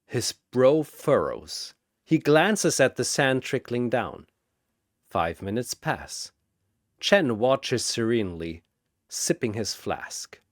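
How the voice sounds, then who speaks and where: A man's voice: mid-range voice, sing-song, takes it slowly, dry recording, studio quality. A man reading scripted fantasy battle narration in a dramatic announcer style.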